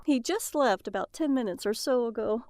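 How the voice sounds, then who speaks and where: A woman's voice: a little high, lively intonation, moderate pace, darkish tone, close to an everyday voice. An actress playing a stressed mother and trucker's wife in an audio drama, by turns snappish and chatty. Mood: affectionate, upbeat. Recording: faint background noise.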